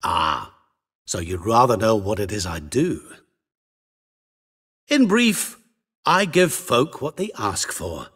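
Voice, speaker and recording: A suave supernatural wish-granter in a fantasy game. He speaks low and theatrically, with wide melodic swings and smug, teasing menace. His slow delivery has a slightly husky edge. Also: very animated, fairly bright tone, exaggerated voice. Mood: amused, theatrical. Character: suave, sinister otherworldly merchant who grants wishes. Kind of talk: video game voice acting.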